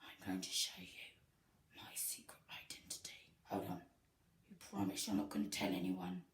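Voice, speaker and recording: In an echoey room, a woman plays a male caped superhero guarding a secret identity. The voice drops very low and turns breathy and hushed, confiding in young listeners. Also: very animated, normal speed, in a whisper, recorded from a distance. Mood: secretive.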